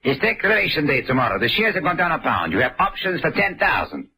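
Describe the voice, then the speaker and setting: An actor playing a brisk, clubbable stockbroker who likes to digress, in a vintage radio drama. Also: male voice, a little high, very animated, bright, forceful tone, full character voice. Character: brisk, avuncular male stockbroker mad on fishing.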